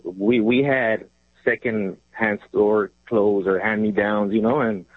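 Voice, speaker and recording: A man's voice: lowish voice, steady tone, slow pace, dry recording. Male caller in his 30s from Mexico, phoning from a car, tinny phone line, hesitant casual rambling. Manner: rambling, hesitant, lots of ums, laughs easily. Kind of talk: casual call-in chat.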